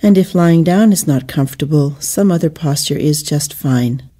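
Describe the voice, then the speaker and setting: A woman leading a guided meditation in a soothing, measured manner with gentle pauses between phrases. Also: low voice.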